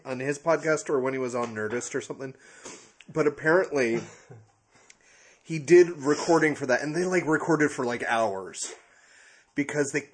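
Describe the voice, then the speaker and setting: A man's voice: mid-range voice, slight background noise. A man chatting casually as a podcast host. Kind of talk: casual chat.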